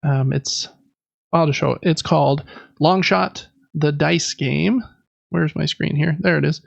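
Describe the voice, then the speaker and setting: A man's voice: fairly high pitch, unhurried, vocal fry, no echo, muffled recording. A man in his thirties talking casually and explaining things as a podcast co-host. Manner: conversational, explanatory. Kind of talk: casual chat about board games.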